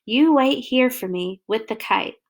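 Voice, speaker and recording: An excitable young storybook boy, acted out by a woman reading aloud. The voice is high and slowly paced, with friendly, earnest exclamations. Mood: grateful.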